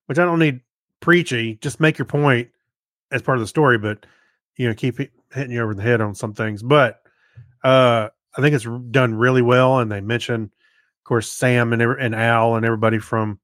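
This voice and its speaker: A man co-hosting a podcast, chatting casually in a rambling, halting way and often correcting himself. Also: mid-range voice, fairly even intonation, fairly bright tone, no echo, clean studio sound. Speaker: podcast co-host. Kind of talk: casual chat.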